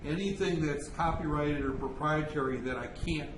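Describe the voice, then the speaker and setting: Man, lecturer, halting and self-correcting, noisy echoey classroom. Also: fairly high pitch. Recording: echoey classroom with background noise.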